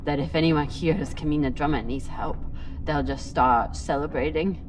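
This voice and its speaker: A woman's voice: low-pitched, moderate pace, far from the mic, music in the background, lightly acted, poor audio. An actress playing a hardened, guilt-ridden woman spacer, haunted and bitter, in a dramatic game. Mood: haunted, weary.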